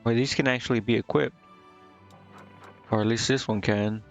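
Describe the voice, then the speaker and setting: A man's voice: medium pitch, fairly even intonation, moderate pace. A man giving casual live gameplay commentary, muttering and thinking aloud with hesitation. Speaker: game streamer. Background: game music.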